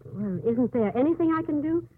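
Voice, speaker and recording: A woman playing a kind, patient but persistent nursing nun in a 1950s radio drama, with a husky voice. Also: sits high, lively intonation, brisk. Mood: patient, persuasive. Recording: muffled phone-like old radio broadcast.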